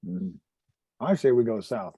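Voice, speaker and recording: A man's voice: on the low side, expressive, a little slow, mellow, breathy edge, no room sound, muffled recording. A man chatting casually as a player in a tabletop roleplaying game.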